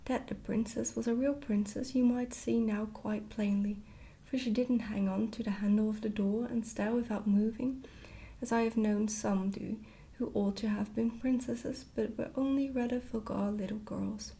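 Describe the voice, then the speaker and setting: A woman gently reading a storybook aloud in a hushed, whispered ASMR style. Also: a little high, fairly even intonation, normal speed, slightly rough. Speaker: storybook reader, ASMR creator.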